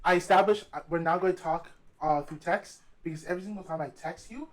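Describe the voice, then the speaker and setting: Emphatic, rapid-talking man. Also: high voice, a crowd in the background. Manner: emphatic, slangy, repeats himself. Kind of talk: casual podcast chat.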